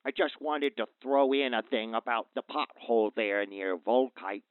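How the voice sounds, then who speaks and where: An actor playing a worried, earnest father calling a radio show, speaking haltingly over a phone line. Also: mid-range voice, clear, resonant tone, no echo. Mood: uneasy, insistent. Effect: phone line filter. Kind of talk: audio drama phone-in acting. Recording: tinny, narrow phone-like line.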